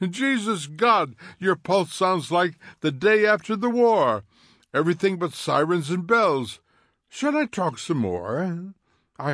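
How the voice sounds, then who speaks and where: A man voicing a smug, needling fire captain who mocks in quick theatrical bursts, in an audiobook reading. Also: high-pitched, fairly bright tone, dry recording, studio quality, voice pushed up, heavily acted. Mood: excited taunt. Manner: taunting, theatrical, fast mocking bursts.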